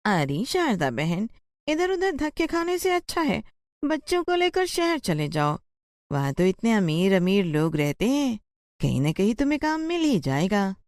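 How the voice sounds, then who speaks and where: A woman's voice: mid-range voice, very animated, a little slow, a little mellow, no echo, voice pushed up. A woman voicing a practical village neighbour in a story, giving frank advice. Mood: advising. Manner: advising.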